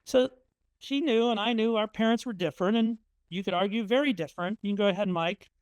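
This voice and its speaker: High, crisp-voiced man telling family stories. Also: brisk, no echo, rough recording. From Indiana, USA. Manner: conversational, anecdotal, frequent um and uh. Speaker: author speaking at a museum talk. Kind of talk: storytelling presentation with slides.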